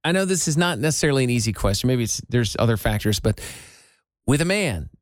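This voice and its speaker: A woman co-hosting a talk show in casual, animated, conversational banter. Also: extremely low pitch, fast, no room sound, studio quality.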